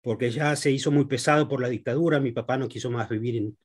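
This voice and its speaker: A man who grew up in Argentina, a guest economist in an interview, speaking haltingly with many fillers and restarts. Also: medium pitch, slightly rough. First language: Spanish.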